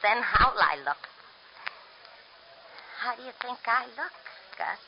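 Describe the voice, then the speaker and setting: An actress playing a brassy, flirty bar hostess in a 1940s radio drama, gushing and tough-talking. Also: female voice, on the high side, animated, slow, creaky, noisy background, full character voice. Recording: dull lo-fi old radio broadcast.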